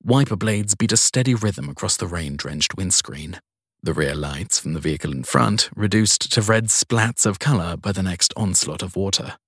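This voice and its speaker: A man's voice: sits low, expressive, normal speed, a bit bright, slightly rough, no echo, clean studio sound. A man reading a crime story as a scripted audiobook narration.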